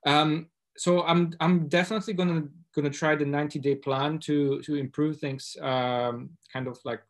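A man's voice: fairly high pitch, level tone, normal speed. Man, hesitant questioner. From Poland. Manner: hesitant, many uhs and restarts. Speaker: audience member asking a question.

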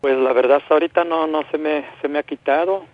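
A man calling a radio show over a tinny phone line, talking casually and politely in a halting, hesitant way. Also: a little high, steady tone.

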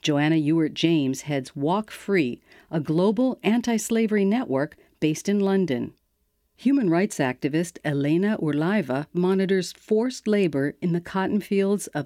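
A woman hosting a radio program, reading scripted narration in a measured, even way. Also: on the low side, no room sound, studio quality. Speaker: radio host.

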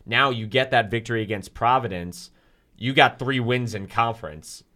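A young man talking loudly and energetically as a student sports reporter on a casual podcast. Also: medium pitch, moderate pace. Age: young adult. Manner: loud, energetic talker.

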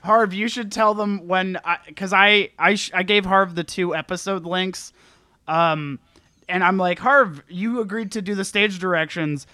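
A man's voice: sits high, a little slow, bright, forceful tone, dry recording. A man chatting casually and jokingly as a podcast co-host. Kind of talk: casual comedic group chat.

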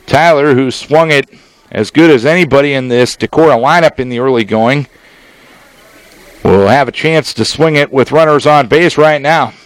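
A man with an American accent giving live baseball play-by-play on the radio, in measured, descriptive phrases with pauses between them. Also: medium pitch, no room sound, rough recording. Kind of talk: live sports play-by-play commentary.

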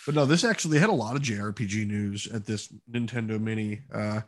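A man's voice: on the low side, fairly quick. A man co-hosting a gaming podcast, chatting casually and informally with enthusiastic, opinionated remarks. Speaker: podcast co-host.

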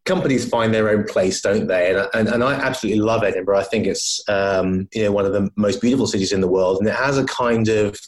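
Low-voiced English businessman, thoughtful. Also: steady tone, fast, hardly any pauses, low-quality recording. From London, England. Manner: thoughtful, hesitant with many restarts. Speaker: company founder, podcast guest. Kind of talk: conversational interview.

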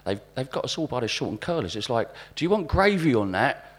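A man puts on a bullish London office worker in a spoken-word sketch. He rattles along quickly at a raised pitch, blustering and repeating himself in slang. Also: big pitch swings, breathy edge. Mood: indignant. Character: bullish male London office worker grumbling about youngsters.

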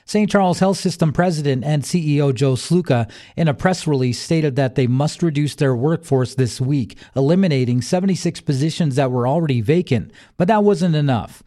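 A man's voice: a little high, moderate pace, mellow. A man reading a scripted local news and sports bulletin as the newsreader. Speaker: local radio news reader.

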